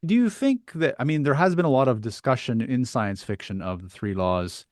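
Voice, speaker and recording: A man's voice: medium pitch, expressive, moderate pace, a little mellow, no room sound, clean studio sound. A man hosting a casual interview, thinking aloud and phrasing his questions tentatively. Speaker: podcast host, interviewer.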